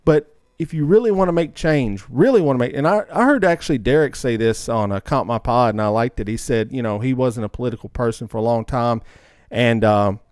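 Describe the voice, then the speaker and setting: A man's voice: mid-range voice, a little fast, darkish tone, clean tone, no room sound, clean studio sound. A man co-hosting a casual podcast, emphatic and slangy, often repeating himself to press a point. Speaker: podcast co-host. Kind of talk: casual opinionated podcast chat.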